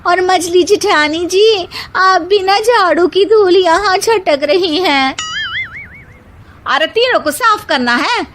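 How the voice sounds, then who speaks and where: A woman's voice: extremely high pitch, slow pace, music in the background, pitched above the performer's own voice. A woman voicing eager, squabbling young daughters-in-law with a chirpy, whiny, exaggerated comic delivery. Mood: whiny complaint. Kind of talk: exaggerated comic story acting.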